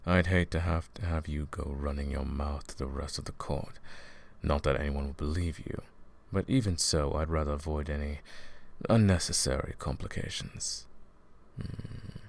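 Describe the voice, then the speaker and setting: A man playing a smug, scheming royal advisor and sorcerer in a fantasy audio roleplay, silky and condescending, with a gravelly voice. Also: very deep voice. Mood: calmly threatening. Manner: silky, condescending, theatrical, drawn-out menacing phrasing. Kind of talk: fantasy audio roleplay, intimate villain monologue.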